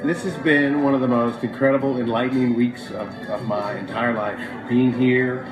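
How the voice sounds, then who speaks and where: A man's voice: medium pitch, fairly even intonation, normal speed. A man giving a reflective spoken testimonial in a recorded video. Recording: roomy echo, loud music underneath. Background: loud music.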